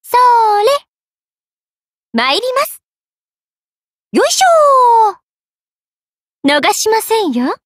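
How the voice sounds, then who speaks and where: A woman's voice: very high voice, big pitch swings, extremely slow, pauses often, dry recording, full character voice. A woman voicing a playful, money-loving young half-spirit merchant sorceress in an anime game, with a teasing, polite lilt. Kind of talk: Japanese anime game character voice acting.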